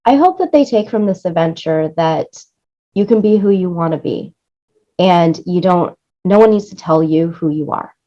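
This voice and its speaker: Female interview guest, lowish voice, effusive and emphatic with repeated phrases, on slightly muffled lo-fi audio. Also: lively intonation, normal speed, dark tone. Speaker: interview guest, music supervisor and producer. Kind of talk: enthusiastic interview conversation.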